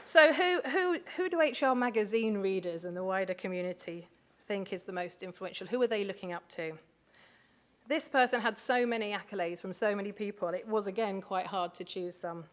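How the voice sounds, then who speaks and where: A woman's voice: mid-range voice, fairly quick. A woman hosting a live awards ceremony on stage, chatty and full of asides, speaking into a microphone in a large hotel function room. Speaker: awards host.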